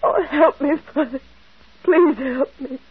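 A woman's voice: sits high, heavily acted. Anguished young woman, tinny radio sound. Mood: anguished, pleading. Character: guilty young woman thief, anguished and remorseful. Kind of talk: 1940s radio drama acting. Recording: tinny, narrow-band old radio recording.

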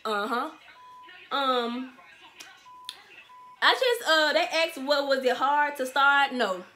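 A twenty-year-old woman from Memphis with a Southern accent, talking straight to camera in an animated, slangy way and repeating herself for emphasis. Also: high voice, takes it slowly, faint music behind. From Memphis, Tennessee. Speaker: vlogger, small business owner. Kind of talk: casual Q&A vlog to camera. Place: bedroom, sitting on a bed, indoors.

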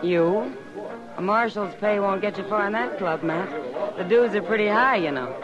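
An actress playing a friendly saloon keeper in a 1950s radio drama, frank and gently teasing. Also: female voice, mid-range voice, normal speed, muffled recording, over loud music, lightly acted.

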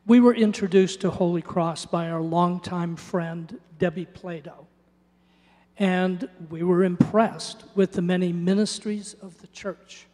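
A man's voice: high voice, steady tone, a little slow, mellow. An older man, born in Norway, giving an earnest church testimony into a handheld mic. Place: church sanctuary. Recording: church hall reverb.

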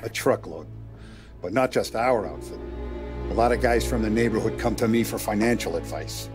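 Man playing a calculating mob boss, measured. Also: fairly low pitch, music in the background, close to an everyday voice. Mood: grave, scheming. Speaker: crime boss. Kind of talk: cinematic video game dialogue.

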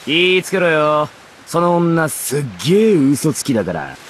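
A brash anime game rogue, fairly high for a male and wildly animated. He is cocky, sneering and proudly wicked, over faint hiss. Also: measured pace. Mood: cocky, scornful. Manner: rough, cocky. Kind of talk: Japanese anime game voice acting.

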